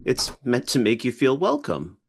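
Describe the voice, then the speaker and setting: Earnest, childlike office man played by a man, lively pitch. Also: moderate pace, husky, exaggerated voice. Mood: eager, welcoming. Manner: naive, earnest, eager to please. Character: childlike, earnest, sensitive male office worker. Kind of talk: live script table read acting.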